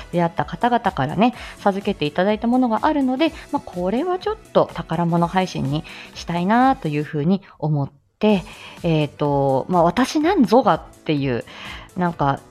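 A woman's voice: fairly low pitch, a little mellow. A woman hosting a casual live audio stream, chatting to listeners in a giggly, playful way. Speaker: speech-language therapist.

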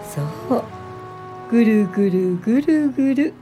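An actress playing a reflective, gentle eighty-year-old woman educator, narrating and talking in a radio drama in a measured, contemplative way. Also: on the high side, lively intonation, music in the background.

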